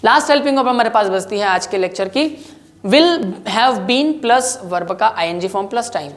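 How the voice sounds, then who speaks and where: A woman with an Indian accent speaks in a fairly high voice. She is a language teacher leading a grammar lesson. Her delivery is instructional, built on repeated drill sentences. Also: animated, moderate pace. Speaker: spoken English teacher.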